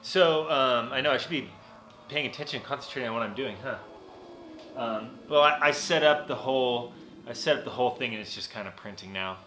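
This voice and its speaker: A man's voice: mid-range voice, brisk, roomy sound, over music. A man in his forties with a nasal voice, chatting casually and rambling through a live stream from his home recording studio. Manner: rambling, relaxed, many fillers and restarts. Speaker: vlogger and mixing engineer. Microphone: camera on desk.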